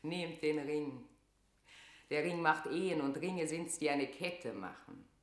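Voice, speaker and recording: A bossy regal queen in old-school radio drama, lowish sharp voice, declaiming, roomy echo. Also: takes it slowly. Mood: regal composed. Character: proud, commanding, imperious queen. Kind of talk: classical German radio play acting.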